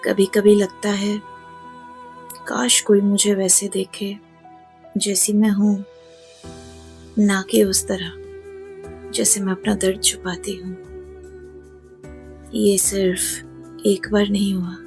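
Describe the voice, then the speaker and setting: Slow-spoken, guarded, wounded young woman. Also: medium pitch, little pitch movement, soft background music. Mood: sad, confiding. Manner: halting, emotional, drops to hushed tones. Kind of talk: Hindi audio drama acting.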